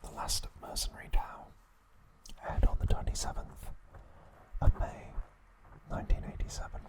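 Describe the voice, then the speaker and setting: A man narrating an episode recap as an ASMR host, speaking deliberately in short phrases with pauses. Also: low-quality recording.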